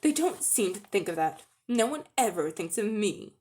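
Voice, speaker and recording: Woman narrator as old gentleman, self-pitying, grumbling, indignant, storybook character. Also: mid-range voice, sing-song, moderate pace, close to an everyday voice.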